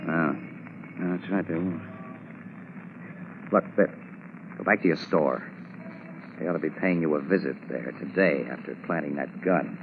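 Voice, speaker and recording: A muffled, faintly hissy 1940s radio broadcast carries a savvy male crime photographer. His voice is low, and he talks in casual, steady, wisecracking big-city slang. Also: unhurried, pauses often. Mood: reassuring, thoughtful. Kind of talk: 1940s radio drama acting.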